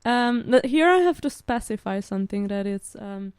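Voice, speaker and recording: A woman's voice: on the high side, lively intonation, normal speed, smooth, no room sound. A woman in her twenties from Switzerland, a native Italian speaker, chatting as a podcast guest, hesitant with many fillers and restarts. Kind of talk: casual interview chat.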